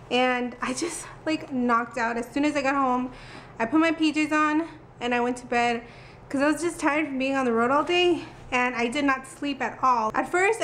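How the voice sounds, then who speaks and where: Chatty girl in her 20s doing a beauty vlog, lots of "like", high voice. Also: a bit bright, slight background noise. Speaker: beauty vlogger. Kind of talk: casual vlog chat. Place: car, home and stores. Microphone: handheld camera at arm's length.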